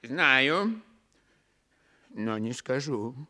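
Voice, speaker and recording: A man playing a silly, cocky servant clown in a comic theatrical radio play, halting and exclaiming. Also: medium pitch, big pitch swings, sharp tone, exaggerated voice.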